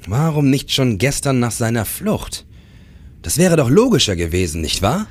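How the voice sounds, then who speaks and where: A man's voice: medium pitch, big pitch swings, moderate pace, breathy edge. A man in a crime radio play, playing a driven, probing police detective who also narrates his case. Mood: urgent, probing. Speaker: radio drama actor. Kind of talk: German crime radio play acting, with first-person narration.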